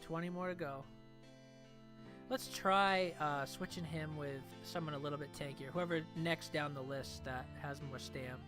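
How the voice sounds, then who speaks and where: A man's voice: on the high side, steady tone, unhurried. Stuffy-nosed young man narrating gameplay. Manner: laid-back, rambling, trails off quietly. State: stuffed up from a cold. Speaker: gameplay video creator. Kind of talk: casual gameplay commentary. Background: game music.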